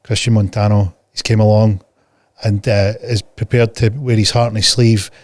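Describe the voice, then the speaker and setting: A man's voice: on the low side, level tone, normal speed, a little mellow, dry recording. A man hosting a podcast, introducing and questioning a guest in a conversational interview style. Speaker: podcast host.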